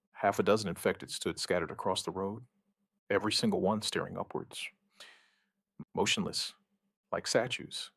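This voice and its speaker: A man narrating a scripted first-person survivor horror story, gravely and in short, measured phrases with dramatic pauses. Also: sits low, fairly even intonation, fairly bright tone, no echo, clean studio sound.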